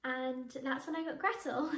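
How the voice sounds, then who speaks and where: A woman's voice: sits high, steady tone, normal speed, fairly bright tone. A teenage girl, an actress answering interview questions to camera in a bubbly, enthusiastic way. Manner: bubbly, enthusiastic, with frequent laughs. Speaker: young actress. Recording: echoey room.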